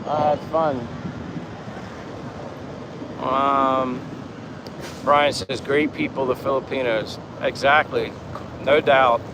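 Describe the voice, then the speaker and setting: A man chatting casually and meandering through an informal livestream, reading out viewer comments. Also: medium pitch. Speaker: livestream vlogger. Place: outdoors in the Philippines, in daytime. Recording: noisy outdoor ambience with wind, vehicles. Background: wind, vehicle and outdoor ambience noise.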